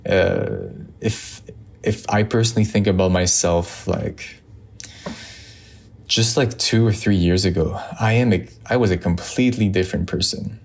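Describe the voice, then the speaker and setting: A man's voice: deep voice, expressive, measured pace, gravelly, a little mellow. A man from Montreal whose first language is French, speaking with a Quebec French accent. He muses to camera in a loose, reflective way, with many ums and restarts. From Montreal, Canada. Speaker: vlogger. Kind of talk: reflective casual talk to camera.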